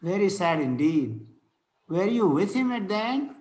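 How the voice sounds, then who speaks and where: A man reading the part of a pious, pedantic, gentle clergyman in an amateur table read, formal and a little halting. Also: high-pitched, very animated, poor audio. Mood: solemn sympathy.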